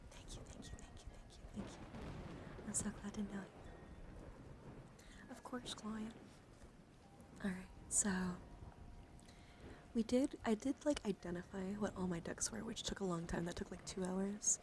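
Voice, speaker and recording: A woman doing an ASMR livestream, chatting softly to viewers and often slipping into breathy whispers, repeating words soothingly. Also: medium pitch, steady tone, moderate pace, pauses often, background noise. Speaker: streamer.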